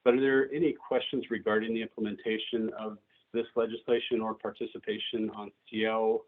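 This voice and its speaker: A man giving a director's update at a board meeting, congested from a cold and talking haltingly with many fillers. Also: lowish voice, flat delivery. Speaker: agency director. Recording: tinny phone-like audio.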